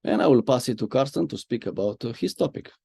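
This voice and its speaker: A man's voice: medium pitch, expressive, quick pace, gravelly, mellow, slightly rough, dry recording. A man hosting an online video-call webinar, introducing speakers with frequent filler sounds. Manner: frequent "uh" fillers, friendly moderator. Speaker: host, media and communication lead.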